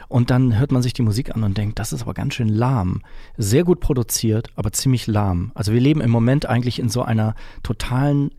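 A man's voice: medium pitch, a little fast, mellow, no echo, clean studio sound. A middle-aged man talking reflectively as an interview guest, explaining his opinions and often searching for the right word. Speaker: interview guest, musician and composer.

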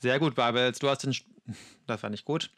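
A man with a sharp, bright voice of medium pitch is rehearsing an audio drama. He chats casually between lines and often corrects himself. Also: brisk. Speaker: amateur voice actor in an audio drama.

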